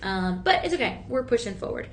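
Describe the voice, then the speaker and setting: A woman hosting a shopping channel, chatty and encouraging, with a slightly raspy voice that is still healing from talking so much. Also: fairly high pitch, big pitch swings, fairly quick, slight background noise. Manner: chatty, upbeat and encouraging, many fillers. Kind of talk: live TV sales pitch. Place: TV studio.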